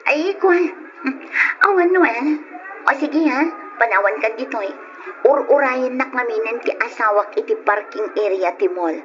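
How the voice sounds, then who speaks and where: A woman's voice: a little high, lively intonation, moderate pace, close to an everyday voice. A woman playing a proud, fussy married woman in an old radio drama. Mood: haughty, surprised. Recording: muffled old radio drama recording with music.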